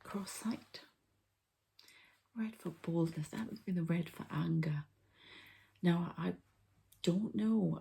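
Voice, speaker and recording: A woman softly whispering an ASMR healing ritual, breathy and halting, as if thinking aloud. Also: low-pitched. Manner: unhurried, halting, thinking aloud while handling objects. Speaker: host, energy healer and card reader.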